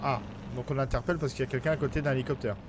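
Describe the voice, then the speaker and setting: A man's voice: mid-range voice, fairly even intonation, very fast, noisy background. A man in his thirties streaming a police roleplay game, bossing and teasing a recruit in a playful, mock-commanding way on a headset. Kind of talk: casual roleplay banter. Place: home gaming room.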